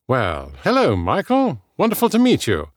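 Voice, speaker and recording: A man voicing a boisterous, elegant British gentleman in an audiobook, with a smooth, theatrical, effusive delivery. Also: on the high side, wildly swooping pitch, moderate pace, no echo, studio quality, voice pushed up.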